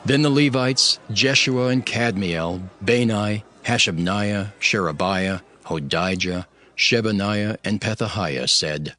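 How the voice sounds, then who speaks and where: Casting: male scripture narrator, low forceful voice, solemn and measured, faint music beneath.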